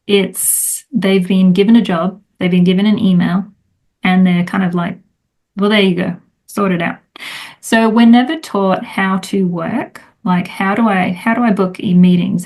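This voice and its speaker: A woman's voice: on the low side, a little mellow. A middle-aged woman, a time management coach, chatting casually as an interview guest, rambling with many fillers.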